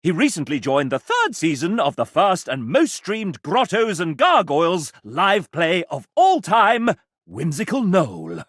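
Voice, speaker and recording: Casting: flamboyant game-show gentleman for a video game, sardonic and pompous, highish pitch, hugely swooping delivery. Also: unhurried, no echo, clean studio sound, heavily acted. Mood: wry narration. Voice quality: animated theatrical delivery. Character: sassy, flamboyant gentleman host, pompous and playful. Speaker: game show style host and narrator. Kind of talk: theatrical video game narration.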